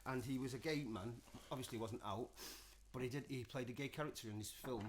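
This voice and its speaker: A man's voice: medium pitch, fast. A man co-hosting a casual live stream, joking and laughing with stammering restarts. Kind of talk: casual banter.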